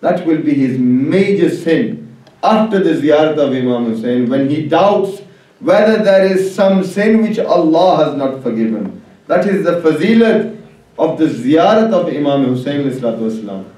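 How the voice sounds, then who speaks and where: A man's voice: a little high, lively intonation, normal speed, warm tone, recorded from a distance. A man preaching an Islamic sermon to a gathering, urging listeners on with rhetorical questions. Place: religious hall, public address. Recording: very echoey hall, off the mic.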